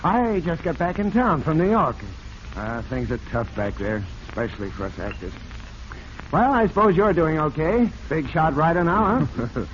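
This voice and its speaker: An actor playing a glib, out-of-work actor and old pal with an American accent, breezy and slangy in 1940s radio acting style. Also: male voice, fairly high pitch, very animated, normal speed. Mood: hearty, surprised. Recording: muffled, noisy vintage radio recording.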